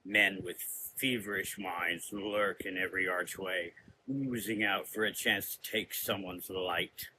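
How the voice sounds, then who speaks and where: A man's voice: deep voice, steady tone, a little slow, background noise, voice pushed down. A man playing a brooding, formal traveler with a solemn, dryly ironic manner in a gothic audio drama.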